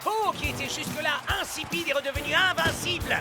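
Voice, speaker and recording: An actor playing a bombastic male football commentator in an animated cartoon. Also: very high-pitched, a little fast, over loud music. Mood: excited, emphatic. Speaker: sports commentator.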